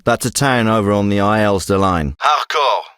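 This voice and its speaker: A man's voice: fairly low pitch, animated, normal speed, a bit bright, clean tone, no echo, studio quality. A man voicing a businesslike military intelligence handler in a video game, giving mission briefings with clipped, purposeful delivery. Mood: focused, intent.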